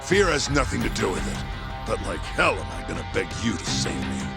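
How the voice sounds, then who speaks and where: A man's voice: fairly low pitch, sing-song, fairly quick, creaky, loud music behind the voice. A man playing a hot-tempered, defiant yakuza in a game cutscene, blunt, sneering and evasive. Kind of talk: video game cutscene acting.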